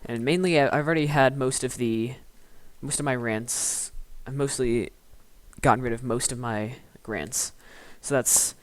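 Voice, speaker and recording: A man's voice: mid-range voice, fairly even intonation, measured pace, no echo. A young man in his teens chatting casually on a live stream, stuttering and restarting often as he bluntly roasts commenters. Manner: stuttering, rambling, with frequent restarts.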